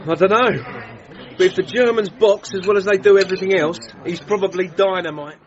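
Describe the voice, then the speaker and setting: An actor playing a modest, plain-spoken English boxer with an English accent and a colloquial way of talking. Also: male voice, high-pitched, fairly even intonation, fairly quick, natural voice. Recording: muffled band-limited sound, crowd behind.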